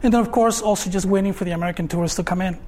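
High-voiced Mexican-accented man. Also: rapid-fire, a little mellow. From Mexico, Tijuana. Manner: hesitant, filler-heavy, frequent tag "no?". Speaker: artist guest. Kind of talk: conversational talk on stage.

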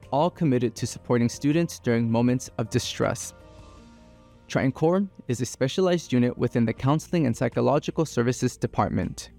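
A man giving a measured, informative scripted presentation about his team. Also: medium pitch, a little mellow. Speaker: team member.

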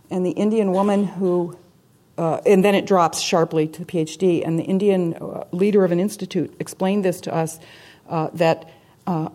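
A woman with a slightly low voice speaks as an academic guest taking audience questions. Her delivery is conversational and anecdotal, in the informal way of a lecture Q&A. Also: warm tone. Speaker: guest speaker, university professor. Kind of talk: public lecture question-and-answer. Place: talk venue with an audience.

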